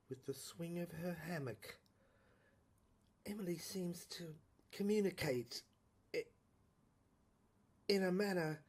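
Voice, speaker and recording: Tormented, halting scientist, played by a woman. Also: low-pitched, dark tone. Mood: fearful, haunted. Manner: halting, ominous, melodramatic. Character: tormented man scientist transforming, haunted and pleading.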